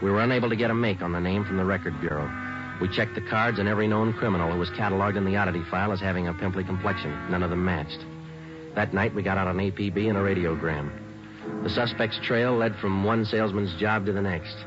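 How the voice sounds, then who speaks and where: A man voicing a hard-boiled police sergeant who reads out times, places and facts in a very low, flat voice at a brisk clip. The sound is a muffled old radio broadcast with music under it. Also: close to an everyday voice. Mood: narrating. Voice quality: flat, clipped, matter-of-fact. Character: terse, businesslike male police sergeant. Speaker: police detective and narrator. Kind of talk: 1950s radio crime drama.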